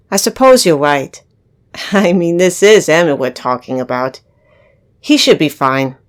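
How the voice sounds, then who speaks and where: A woman plays a fretful, protective young woman in an audio drama. Her voice is quite low for a woman. She talks in a natural, conversational way with worry in it. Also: smooth. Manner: anxious, conversational. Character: worried, protective young woman fretting about a relative. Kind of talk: audio roleplay acting.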